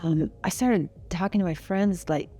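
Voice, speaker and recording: A woman's voice: on the low side, normal speed, dark tone, faint music behind. A woman in her sixties talking openly as a patient guest on a podcast. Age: 60s. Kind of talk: candid podcast conversation.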